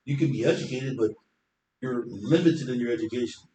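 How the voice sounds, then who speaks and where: Man, deep voice, confident, talkative, middle pitch, echoey room, faint background noise. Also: a little fast, warm tone. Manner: talkative, confident, explaining at length. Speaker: hairstylist guest. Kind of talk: casual interview chat.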